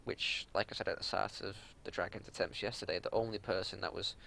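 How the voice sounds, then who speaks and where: Man, lowish pitch, heavy vocal fry, unscripted raid-leader commentary, exasperated matter-of-fact explaining. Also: fairly even intonation, quick pace, sharp tone, slight background noise. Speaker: raid leader reviewing gameplay. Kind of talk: unscripted game analysis commentary.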